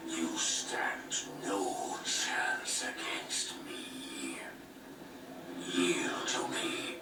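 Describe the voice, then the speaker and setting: A deep, whispering, menacing wraith king. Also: full character voice. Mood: threatening. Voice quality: breathy, whispery. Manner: slow, ominous, grandiose pronouncements. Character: menacing wraith king, cold, ancient, accusing. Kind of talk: dramatic video game cutscene acting. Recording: echoey, distant, noisy game audio playback.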